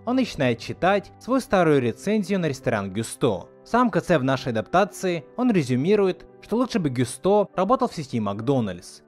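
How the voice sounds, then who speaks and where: Animated man narrating a video essay. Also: a little high, a little fast, hardly any pauses, soft background music. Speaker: video host.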